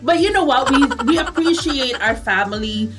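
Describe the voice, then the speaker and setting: Woman, Filipino accent, high voice, expressive casual chatter, radio co-host, light music behind. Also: moderate pace. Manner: bubbly, expressive, affectionate. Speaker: online radio show co-host. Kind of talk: casual bilingual chat.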